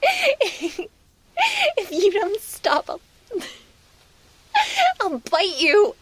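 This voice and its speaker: A woman playing a tender succubus guardian in an intimate, soft-spoken ASMR roleplay, affectionate and breathy. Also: extremely high pitch, very animated, very slow, exaggerated voice. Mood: giggly, pleading. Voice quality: breathy, husky. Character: tender, possessive female succubus guardian, playful and shy in love.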